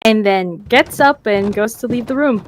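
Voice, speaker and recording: A woman's voice: a little high, moderate pace, a little hiss or hum. A woman taking part in a tabletop roleplaying game, switching between narration and character dialogue. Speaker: player.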